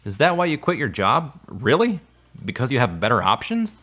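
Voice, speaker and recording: A man playing a concerned, devoted male friend with a gentle, earnest manner in a radio drama. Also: mid-range voice, sing-song, normal speed, exaggerated voice.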